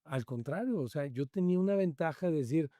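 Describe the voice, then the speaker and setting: A middle-aged Mexican man with a Mexican accent, a podcast guest telling his life story in an animated, slangy way. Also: fairly high pitch, fairly quick. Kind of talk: storytelling in casual interview.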